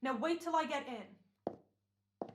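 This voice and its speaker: A woman playing an officious, brisk radio producer in an old-time American radio comedy. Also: high voice, slight background noise. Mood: commanding. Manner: brisk, authoritative. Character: officious radio show producer giving firm orders.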